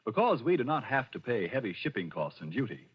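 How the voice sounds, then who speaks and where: An American man delivers a scripted 1940s radio advertisement. His voice is husky and very animated in pitch. The old recording is muffled. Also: fairly quick. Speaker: commercial announcer. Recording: muffled old radio broadcast, faint music.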